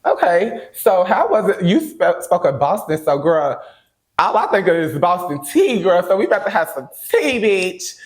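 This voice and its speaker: A man's voice: moderate pace, rough recording. A man hosting a podcast, playful and slangy in casual chat. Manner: playful, slangy, lively pitch swings. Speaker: podcast host.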